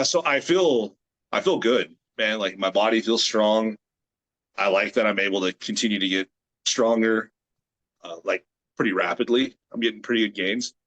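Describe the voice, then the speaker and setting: A man in his late thirties, a grip strength athlete from the United States, chatting as a guest on a video call in a relaxed, rambling way with many fillers. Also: on the low side, low-quality recording. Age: 30s. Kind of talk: casual interview chat.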